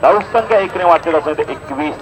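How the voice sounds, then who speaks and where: A man giving live play-by-play cricket commentary in an animated announcer's delivery, with punchy stock phrases. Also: on the high side, fast talker. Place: outdoor cricket ground. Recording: outdoor event PA with crowd noise. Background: crowd and outdoor event noise.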